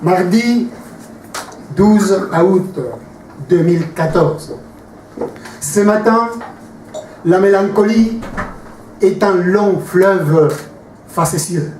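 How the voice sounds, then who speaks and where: A man performing slam poetry at a live evening, declaiming in short, measured phrases. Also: high-pitched, darkish tone. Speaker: slam poet. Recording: roomy, distant mic, faint noise.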